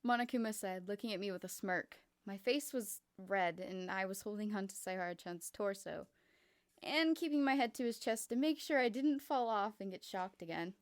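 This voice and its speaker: A woman reading a first-person fan fiction story aloud, in a quick, lively narration. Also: mid-range voice.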